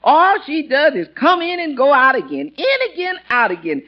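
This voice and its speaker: An actress playing a lively, folksy housekeeper in 1940s radio comedy style, exclaiming in sing-song phrases. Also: female voice, high-pitched, moderate pace. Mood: amused, excited. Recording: muffled old radio recording.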